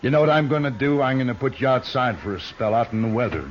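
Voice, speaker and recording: An actor playing a folksy, menacing outlaw gang leader in an old radio western, with a drawling, mockingly genial way of talking. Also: male voice, mid-range voice, expressive, fast, close to an everyday voice. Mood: threatening. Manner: drawling rustic speech, mockingly genial, bullying. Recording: muffled, noisy old radio recording.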